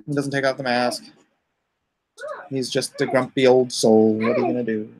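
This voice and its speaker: A man's voice: medium pitch, level tone, normal speed, a little mellow, poor audio. A man chatting casually as a livestream host, rambling informally with joking asides. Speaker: comic artist hosting a crowdfunding livestream.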